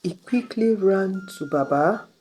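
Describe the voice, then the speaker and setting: A woman narrating a scripted folktale, pausing dramatically between phrases. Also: mid-range voice, darkish tone, a little hiss or hum. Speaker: storyteller.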